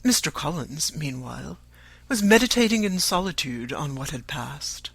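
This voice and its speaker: An audiobook narrator reading a classic novel in a formal, measured storytelling manner. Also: mid-range voice, expressive, gravelly, a bit bright, slightly rough, dry recording. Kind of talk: scripted literary narration.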